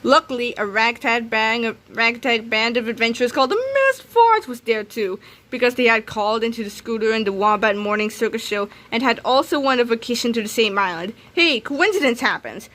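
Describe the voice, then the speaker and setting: A woman reading a children's comic aloud in an animated, dramatic, expressive way, occasionally stumbling and re-reading. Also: on the high side, a little fast, few pauses. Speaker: read-aloud narrator.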